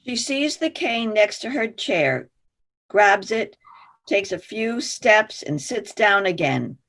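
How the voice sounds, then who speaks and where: A woman's voice: medium pitch, sing-song, slow pace, a bit bright. A woman narrator reading stage directions for a play in scripted narration.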